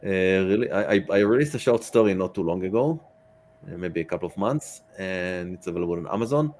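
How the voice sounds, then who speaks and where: Low voiced guy on a podcast as guest, chatting casually, kinda halting, feels his way through sentences. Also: a little mellow. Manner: hesitant, halting phrasing. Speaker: podcast guest, science fiction author. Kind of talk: casual interview chat.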